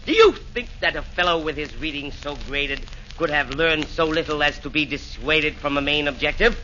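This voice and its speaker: Brief: a pompous rhyming hellish hitman, lively bright voice, old radio play, lo-fi. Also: a little high, normal speed, slight background noise. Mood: boastful. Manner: theatrical, blustering, declamatory verse. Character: boastful rhyming devil's henchman, would-be assassin. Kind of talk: 1940s radio acting. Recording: dull lo-fi 1940s radio recording.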